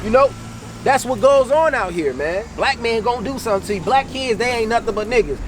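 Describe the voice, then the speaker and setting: A man's voice: extremely high pitch, lively intonation, fairly quick. An American man preaching in an impromptu, colloquial street sermon, exhorting and repeating phrases for emphasis. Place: outdoors on a city street. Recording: loud outdoor traffic and street noise.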